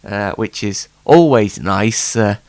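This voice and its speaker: A man's voice: fairly low pitch, takes it slowly, a little mellow, no room sound. A man hosting a casual solo late night talk show, rambling in a jokey, self-deprecating way, slightly stuffed up with hay fever.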